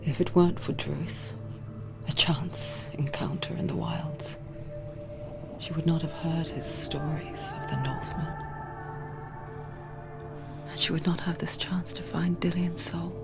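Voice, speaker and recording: A woman playing a calm, eerie narrator inside a warrior's mind in a dark fantasy game, speaking in a hushed, intimate, deliberate way that slips into whispers. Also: deep voice. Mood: somber, intimate. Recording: loud music underneath. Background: loud game score.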